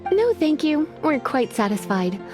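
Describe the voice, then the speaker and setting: A woman's voice: high-pitched, very animated, measured pace, over music. An actress playing a languid, teasing witch librarian with a sultry, lazily flirtatious manner, in a video game. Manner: sultry, playful and lazily flirtatious, calls people cutie.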